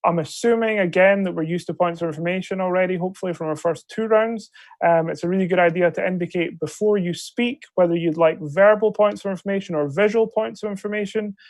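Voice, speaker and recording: A man's voice: high voice, a little mellow, no echo. A man chairing an online debate on a video call, giving polite, hesitant instructions full of ums. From Scotland. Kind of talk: procedural briefing on a video call. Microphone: video call microphone.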